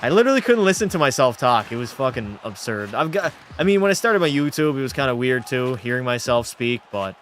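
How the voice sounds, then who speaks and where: A man's voice: medium pitch, sing-song, a little fast, few pauses, fairly bright tone, slight background noise. A young man from Canada, casually chatting and swearing while live-streaming a hockey game and answering chat. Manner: loose, profane banter, reading chat aloud. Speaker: gaming streamer.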